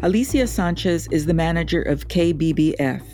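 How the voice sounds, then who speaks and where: A woman journalist narrating a documentary report in a measured, clear way. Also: deep voice, expressive, dark tone, faint music behind.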